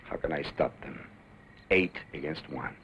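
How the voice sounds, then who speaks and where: An actor playing a defiant, embittered outlaw in a western drama, speaking with impassioned intensity. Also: male voice, very deep voice, unhurried, vocal fry, slight background noise, exaggerated voice. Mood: bitter, resigned. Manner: impassioned, swinging between muttering and shouting.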